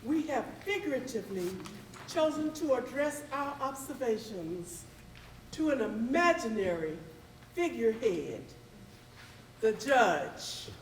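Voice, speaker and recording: A woman hosting a theatre evening, speaking formally and deliberately in measured, oratorical phrases. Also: on the high side, big pitch swings. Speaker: host of a readers theatre. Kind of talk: formal stage welcome address. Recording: roomy, distant mic, faint noise.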